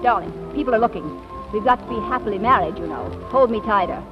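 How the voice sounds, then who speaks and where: An actress playing a spirited, teasing young wife with an arch, sardonic manner in 1940s radio style. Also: female voice, a little high, quick pace, over music, lightly acted. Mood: playfully sweet. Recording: muffled, noisy vintage radio recording.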